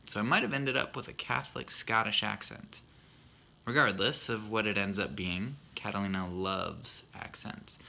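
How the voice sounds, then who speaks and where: A man in his thirties talks through a poem he wrote, earnest and reflective with a self-deprecating streak. His voice sits a little low with a gravelly fry, yet carries a bright, forward edge. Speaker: husband explaining his poem. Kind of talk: reflective spoken monologue.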